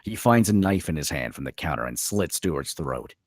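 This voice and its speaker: A man's voice: rumbling low, animated, normal speed, no room sound, clean studio sound. A man reading stage directions as dramatic scripted narration at a screenplay table read.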